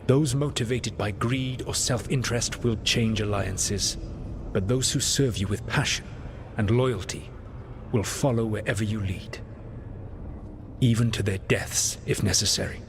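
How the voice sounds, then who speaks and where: Game role: ancient elven rebel leader. Lowish pitch, solemn, deliberate and formal counsel over noisy ambience. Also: male voice. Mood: counselling, solemn. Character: ancient male elven rebel leader, grave, calculating mentor. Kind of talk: dramatic video game dialogue.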